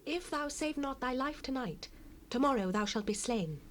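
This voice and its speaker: An actress playing an urgent, protective young wife with dramatic, archaic speech in a scripture audio drama. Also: female voice, sits high, expressive, normal speed. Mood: urgent warning. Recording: noisy cassette rip, distant ambience.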